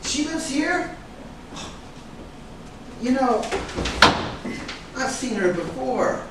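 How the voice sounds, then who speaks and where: Man acting a high-voiced, fretting talent agent, distant and echoey. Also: lively intonation, drawn-out words, heavily acted. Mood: catty, curious. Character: pushy, fretting male talent agent, accusing then flattering. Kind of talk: staged play reading. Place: room at a live staged reading. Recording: echoey room, distant mic, noisy.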